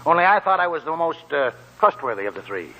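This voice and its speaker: An actor playing a wily, cheerful old gold prospector with a gravelly, cackling voice and folksy, chuckling, drawn-out phrasing in a 1940s radio drama. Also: male voice, on the high side, very animated, sharp tone, exaggerated voice, low-quality recording. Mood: wry, reflective. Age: older.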